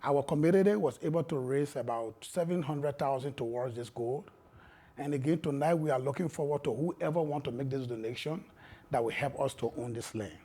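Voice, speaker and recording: A man from the farm collective giving a welcome speech in an earnest, slightly halting way. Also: mid-range voice, dark tone, a little hiss or hum.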